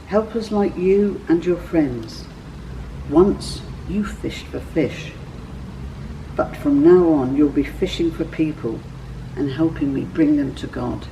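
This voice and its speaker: A woman voicing a gentle, inviting male teacher in a children's Bible story, speaking deliberately. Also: deep voice, recorded from a distance, slight background noise, rough recording. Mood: reassuring.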